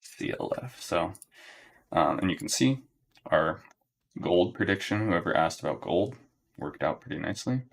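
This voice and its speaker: Young guy streaming his trades, super low gravelly voice, flat and chill, keeps saying right?. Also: moderate pace, pauses often. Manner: monotone, relaxed, low-key; thinking aloud with fillers, frequent "right?". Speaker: day trader streaming live. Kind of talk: live trading commentary to chat.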